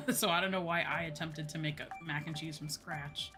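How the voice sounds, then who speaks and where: A woman chatting casually as a livestream host while gaming, expressive and free with swearing. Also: low voice, moderate pace. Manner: expressive, swears freely, mock-grumbling asides. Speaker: livestream host, virtual streamer. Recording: game music behind the voice. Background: video game music.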